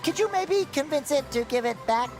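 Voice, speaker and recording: A man's voice: extremely high pitch, expressive, normal speed, over music. A man voicing an eager, naive cartoon sea sponge hero in a video game, earnest and childlike. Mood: eager, polite. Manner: chipper, earnest, polite. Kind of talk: animated video game voice acting.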